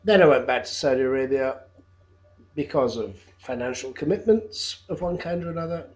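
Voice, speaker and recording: A man in his fifties, a teacher talking to camera from his flat in a hesitant video job application, with a loud voice and frequent ums and uhs. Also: mid-range voice, normal speed. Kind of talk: unscripted video application monologue. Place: his own flat, indoors.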